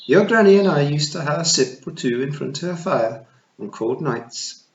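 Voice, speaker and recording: A tiny, shrewd old pixie queen with a broad Scottish burr, as a male reader puts her on, her voice pitched high and swooping as she teases and gives orders. It sounds like a storybook read aloud in a roomy space, the recording slightly dull. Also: animated, normal speed. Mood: wry. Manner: warm, teasing and commanding. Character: tiny old dying female pixie chieftain, shrewd and wry. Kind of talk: storybook reading.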